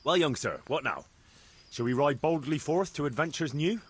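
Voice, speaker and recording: A man's voice: on the high side, lively intonation, normal speed, breathy edge. A young man playing a down-to-earth young squire in a video game cutscene, with a dry, teasing way of talking. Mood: wry. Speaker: game character.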